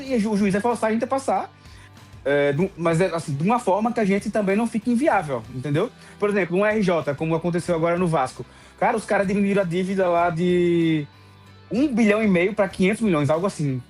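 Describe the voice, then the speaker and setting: Brazilian male co-host, high voice, very fast talker, faint music behind. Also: a little mellow. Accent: Brazilian Portuguese. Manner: rapid, emphatic, keeps asking "entendeu?". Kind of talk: casual discussion.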